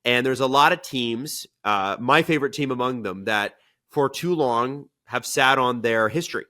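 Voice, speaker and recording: Host, 40s male; casual, rambling questions, bright mid-pitched voice. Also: moderate pace. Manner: rambling, chatty, asks long questions. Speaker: podcast host. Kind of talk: casual podcast chat. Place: indoor studio room. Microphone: earbud mic, video call.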